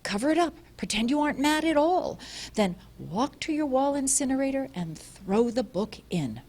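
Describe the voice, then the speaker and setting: A woman voicing an urgent old man whispering instructions in an earpiece, low, tense and hurried. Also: sing-song, a little mellow, heavily acted.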